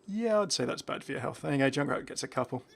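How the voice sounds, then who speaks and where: A man's voice: medium pitch, lively intonation, fast, vocal fry. A man hosting a gaming video with casual, unscripted commentary, chatty and musing aloud, often breaking off mid-thought.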